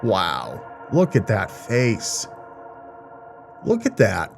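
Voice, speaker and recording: A man's voice: lowish voice, huge pitch swings, drawn-out words, gravelly, faint music behind. A man hosting a reaction video, giving informal, jokey commentary in a rambling way. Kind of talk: casual, profane reaction commentary.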